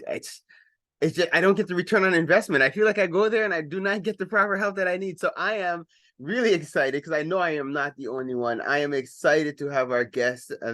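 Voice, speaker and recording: A man's voice: medium pitch, fairly bright tone, no room sound. A male radio host in easygoing conversation, circling back and restarting his sentences as he goes. His voice sits in a middle register and sounds close and dry, with no room around it. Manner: rambling, many restarts and fillers. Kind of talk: casual radio interview.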